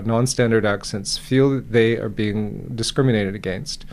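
Man, academic interviewee, medium pitch, halting phrasing, emphatic repetition, explanatory tone. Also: darkish tone. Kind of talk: spontaneous expert interview.

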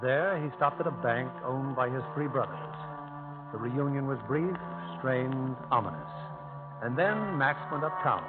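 A man hosting a 1950s radio drama, giving scripted narration in a formal, measured, theatrical manner. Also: medium pitch, lively intonation, over music. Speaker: producer and host. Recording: old tinny radio broadcast.

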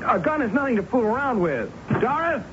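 A man's voice: extremely high pitch, sing-song, brisk. An actor playing an uneasy friend frightened by a woman's delusions, in a 1970s radio drama. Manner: nervous, reasoning, uneasy. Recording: muffled old radio broadcast with heavy hiss.

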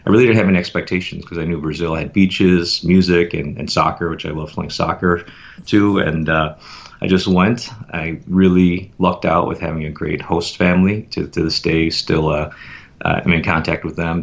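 A man telling his story as a podcast guest in a relaxed, reflective way, pausing often with fillers. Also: low-pitched, gravelly, dull audio. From United States. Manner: reflective, meandering with many fillers. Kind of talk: casual conversational interview.

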